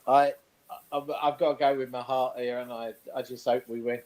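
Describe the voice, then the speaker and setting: A man's voice: medium pitch, level tone, moderate pace, darkish tone, rough recording. A man on a sports panel, chatting casually in a jokey, teasing way.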